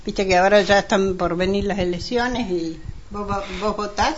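A woman playing halting Argentine neighbourhood housewife Selmira. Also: medium pitch, fairly even intonation, close to an everyday voice. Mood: neighbourly, chatty. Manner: halting, conversational. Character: Selmira, neighbourly housewife hosting women for mate. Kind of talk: amateur community radio drama, semi-improvised chat. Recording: dull lo-fi, clearly noisy.

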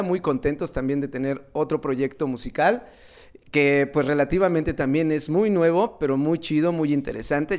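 Upbeat Mexican radio host. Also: male voice, mid-range voice, a little mellow. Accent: Mexican Spanish. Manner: friendly, upbeat, slangy. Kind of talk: casual radio interview.

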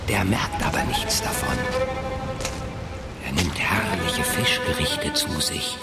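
A man's voice: deep voice, animated, slow pace, over loud music, full character voice. An actor playing a playful, evasive middle-aged father who roams about and writes, with whimsical, hesitant phrasing in a children's radio play. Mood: mysterious storytelling. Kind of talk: German children's radio drama dialogue.

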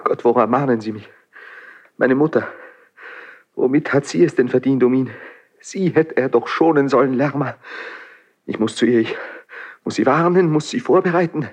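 An actor playing an anguished, impulsive young prince in a classical radio drama, fervent and declamatory, in broken phrases with a husky, breathy voice. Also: male voice, mid-range voice, normal speed, pauses often, natural voice. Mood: desperate. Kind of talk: classical radio stage acting.

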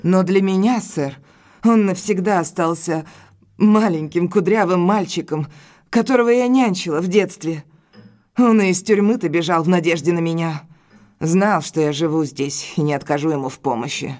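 A woman's voice: lowish voice, normal speed, darkish tone, close to an everyday voice. An actress playing a middle-aged, earnest and distraught housekeeper pleading for her family in an audio drama. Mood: sorrowful. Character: stout frightened housekeeper, loyal, pleading, sorrowful older sister. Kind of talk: Russian audio drama acting.

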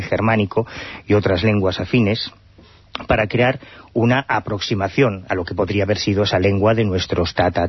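A man's voice: lowish voice, slightly rough, no room sound. A man co-hosting a radio talk show, telling and explaining a story at length. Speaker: radio co-host. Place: radio studio. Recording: dry lo-fi radio broadcast.

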